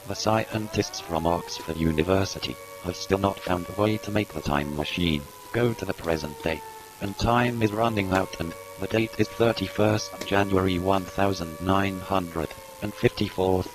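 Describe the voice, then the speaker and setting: A man's voice: deep voice, moderate pace, music in the background, close to an everyday voice. A man voicing a grave, formal television announcer reading a scripted emergency broadcast. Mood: solemn. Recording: clearly noisy recording.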